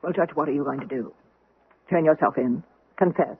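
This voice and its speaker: A woman playing a middle-aged housekeeper, inquisitive and briskly nagging, in an old-time radio drama. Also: low-pitched, slightly rough, no echo, exaggerated voice. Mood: probing, uneasy. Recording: muffled, dry vintage radio recording.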